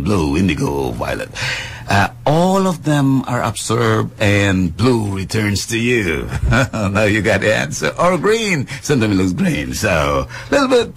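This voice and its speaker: A man's voice: medium pitch, creaky, slight background noise. A man hosting a radio health talk show, chatting playfully and explaining things like a teacher. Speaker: radio show host and doctor.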